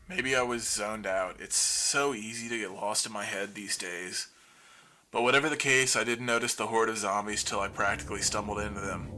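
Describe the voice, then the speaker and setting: A man's voice: fairly low pitch, bright, forceful tone, slight background noise. A man playing an injured, lonely survivor of a zombie apocalypse, confiding wryly and with swearing over a makeshift radio broadcast in an audio drama monologue.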